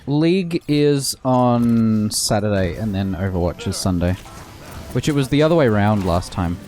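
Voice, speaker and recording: A man's voice: fairly low pitch, expressive, moderate pace, darkish tone. A man, a game streamer, chatting casually while he plays. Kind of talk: casual chat while gaming. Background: game music.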